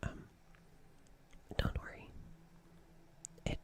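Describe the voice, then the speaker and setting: A performer playing a gentle, nervous alien in a whispered ASMR roleplay, speaking in a breathy, hesitant, stammering and soothing way. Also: extremely slow. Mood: reassuring, concerned. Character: gentle, nervous alien caring for an injured human.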